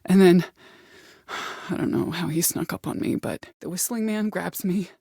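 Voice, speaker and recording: An actress playing a vengeful, bitter middle-aged mother in a video game, commanding and threatening, with deliberate delivery and cold control. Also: lowish voice, creaky, warm tone, breathy edge, no echo, clean studio sound. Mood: pained recollection. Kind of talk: dramatic video game voice acting.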